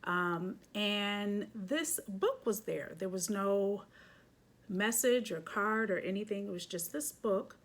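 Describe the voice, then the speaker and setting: A woman's voice: mid-range voice, expressive, takes it slowly. A woman hosting a children's read-aloud, chatting casually and reading a picture book expressively with little asides.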